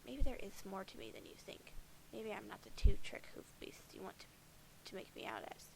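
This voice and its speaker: A woman's voice: medium pitch, big pitch swings, brisk, creaky, background noise. A woman voicing a bitter, foul-mouthed alien troll boy who sees himself as a prophet of doom, sardonic and defensive.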